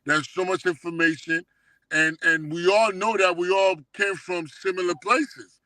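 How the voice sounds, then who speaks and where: Middle-aged man, high bright voice, dry sound; emphatic, slangy storyteller who keeps checking you follow. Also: fairly even intonation, moderate pace, dry recording, low-quality recording. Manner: emphatic, repeatedly checking the listener understands. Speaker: guest telling life stories. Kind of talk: casual reminiscing chat with street slang.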